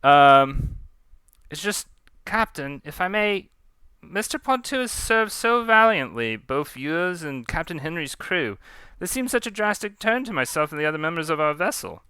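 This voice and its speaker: A man puts on an earnest male ship's officer from a pirate tale, pitched up from his own voice. He is hesitant, courteous and wordy, with a crisp tone. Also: big pitch swings, normal speed, pushed and bright, no echo. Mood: pleading.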